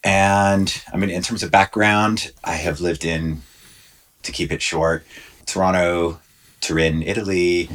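Brief: male academic guest, extremely deep voice, relaxed and wry. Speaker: professor, podcast guest. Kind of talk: casual podcast chat.

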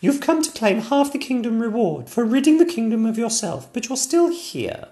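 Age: child. Figure: a man playing a young girl princess, clever and earnest. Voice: high and squeaky, swooping about. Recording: roomy echo. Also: very animated, moderate pace, dark tone.

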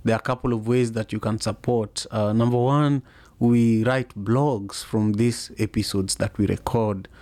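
A man hosts a casual live audio chat, his pitch staying level and unvaried. He speaks haltingly, with frequent fillers and restarts. The sound is dry and close, with no room echo. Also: warm tone. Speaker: podcast host.

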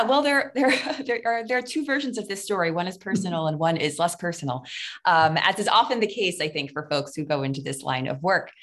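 A woman, a psychology professor answering interview questions clearly, with frequent ums. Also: low-pitched. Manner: articulate, many ums and uhs. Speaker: clinical psychology professor, guest.

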